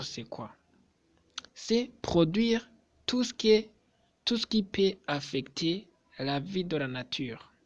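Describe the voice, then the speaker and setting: A man whose first language is not French, speaking to his subscribers in a vlog in a slow, deliberate way, often repeating himself and hesitating. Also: on the high side. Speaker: YouTuber.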